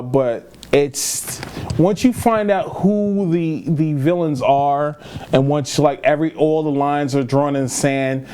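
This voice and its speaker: A man's voice: on the high side, a little mellow, slight background noise. A man co-hosting a casual film review chat, talking in a rambling, emphatic way and repeating himself. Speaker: film podcast co-host.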